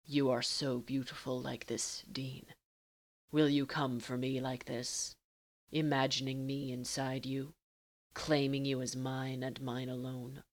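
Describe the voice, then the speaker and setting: A woman voicing a calm, seductive man who speaks in a formal, measured, teasing way in an audiobook romance. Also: very deep voice, pitched below the performer's own voice. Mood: low, commanding, teasing. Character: calm, commanding, seductive male lover, low and deliberate.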